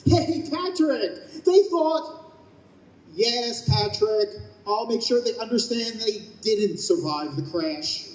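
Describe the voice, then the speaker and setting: An actor in a live radio play voicing a breezy, businesslike escort of souls, matter-of-fact and officious. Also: male voice, high-pitched, animated, slow, roomy sound, rough recording. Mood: breezy, reassuring. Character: chipper, businesslike male heavenly escort for souls. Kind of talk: live old-time radio play acting. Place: live stage at a convention.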